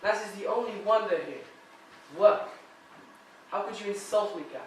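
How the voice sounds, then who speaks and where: A man's voice: high-pitched, a little hiss or hum, close to an everyday voice. A man playing an earnest young immigrant. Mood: furious. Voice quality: halting immigrant English, measured phrasing. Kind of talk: stage play acting. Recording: echoey room, voice from far off.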